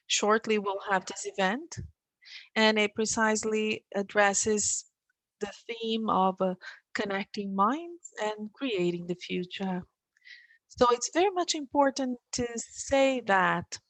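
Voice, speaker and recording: A woman moderating a webinar, speaking deliberately with hesitant fillers. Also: medium pitch, dry recording, rough recording. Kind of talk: formal moderation.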